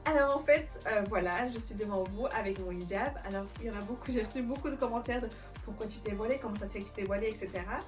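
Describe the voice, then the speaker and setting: A woman's voice: medium pitch, fast, distant mic, faint music behind. A Belgian-born woman in her twenties telling her personal story to camera in an earnest, reflective way, with frequent fillers and restarts. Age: 20s. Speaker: vlogger.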